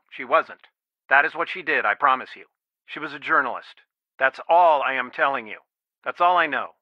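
A man's voice: on the high side, no echo. An actor playing a worried, protective middle-aged father in a video game, earnest and pleading, heard through a telephone filter. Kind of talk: naturalistic video game dialogue, one side of a phone call. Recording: dry, band-limited phone-like sound.

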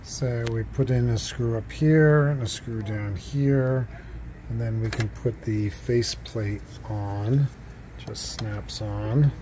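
A man's voice: mid-range voice, fairly even intonation, noisy background. A man narrating a home how-to video, hesitant with frequent fillers, recorded on a handheld phone. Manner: halting, many fillers and restarts. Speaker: DIY home video host. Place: indoors and at a house's front door. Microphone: handheld phone camera, speaker off screen.

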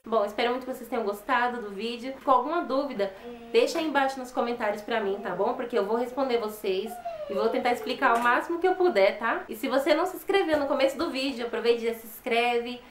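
Uma mulher brasileira na faixa dos vinte anos, com sotaque brasileiro, apresentando de forma falante e simpática um canal de artesanato, filmada de perto numa sala pequena. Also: female voice, on the high side, fast, over music. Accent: Brazilian Portuguese. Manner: chatty, friendly, often ends with "tá?". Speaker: DIY channel host. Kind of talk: upbeat on-camera intro and outro. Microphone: fixed camera at close range. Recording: echoey small room.

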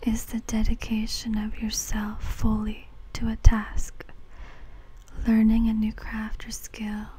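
A woman whispering a fortune-telling reading in ASMR style, breathy and deliberate, speaking close to the mic. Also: a little high, warm tone, low-quality recording. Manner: slow, deliberate, measured phrasing. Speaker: fortune teller.

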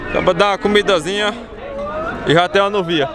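Northeastern brazilian guy hosting at a livestock fair, high voice, repeats himself with emphasis, really loud crowd around him. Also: steady tone, fast. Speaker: host of livestock market videos. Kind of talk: casual on-site commentary and price interviews. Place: outdoor cattle fair pens. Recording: very noisy outdoor cattle market, busy crowd. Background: crowd, cattle, loud ambient noise.

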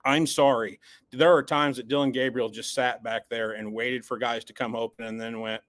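A man's voice: mid-range voice, steady tone, normal speed, a bit bright, no echo. A man in the middle of his range co-hosts a sports podcast, dissecting a loss with blunt, emphatic opinions. He often repeats words as he gets going. The sound is close and dry, with no room around it. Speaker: podcast co-host.

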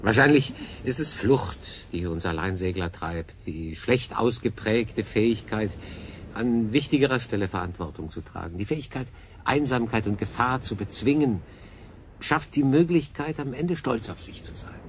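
An actor playing a stranded solo sailor in a radio drama, wry, curious and plain-spoken. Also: male voice, lowish voice, expressive, moderate pace, slight background noise, close to an everyday voice. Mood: reflective.

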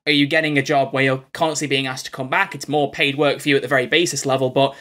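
A man's voice: medium pitch, fairly even intonation, fast, fairly bright tone. A young man, chatting casually and effusively as a podcast interviewer, rambling a little. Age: young adult. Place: small bedroom with posters, on a video call.

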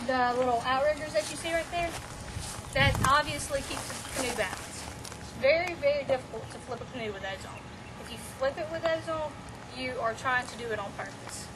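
A woman gives practical, brisk instruction to a group of camp counselors at a lakeshore. She raises her voice to carry across the group. She is caught by a camera at some distance, under loud outdoor noise. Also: high voice. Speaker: camp staff trainer.

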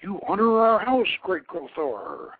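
A man's voice: high voice, wildly swooping pitch, unhurried, slight background noise, pitched above the performer's own voice, exaggerated voice. A man voicing a dignified, reverent king and father in a storybook reading, speaking formally. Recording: muffled, thin phone-like radio line.

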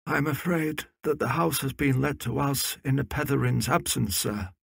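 A man playing a formal, polite Victorian footman in a period audio drama, with a gravelly, husky voice. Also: mid-range voice, fairly even intonation, normal speed, no echo, clean studio sound.

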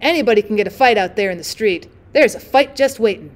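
A woman doing a grim young man, slow and heavy. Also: mid-range voice, expressive, natural voice. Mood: grave. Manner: slow, grave, emphatic.

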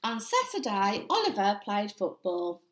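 A young woman reading a children's picture book aloud in an expressive, deliberate storytelling manner. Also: mid-range voice, reverberant. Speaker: primary school story time reader. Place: small indoor room with bare walls.

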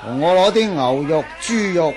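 A man's voice: on the high side, animated, moderate pace. A man acting in a Cantonese martial-arts radio drama from Hong Kong, voicing a boastful, menacing, lecherous bandit. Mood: leering menace. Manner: boastful, taunting.

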